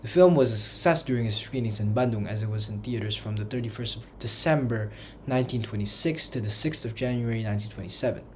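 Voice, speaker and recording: A man's voice: on the low side, fast talker, darkish tone, slight background noise. A man reading a scripted history narration for a personal school project, in careful textbook phrasing. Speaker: student narrator.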